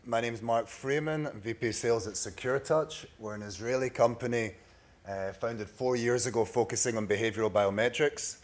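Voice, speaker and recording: Scottish guy in his 30s doing a startup pitch on stage, medium pitched voice. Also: normal speed. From Scotland. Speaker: startup pitch presenter, sales executive. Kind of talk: prepared conference pitch. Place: large bright conference room, at a podium. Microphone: podium microphone.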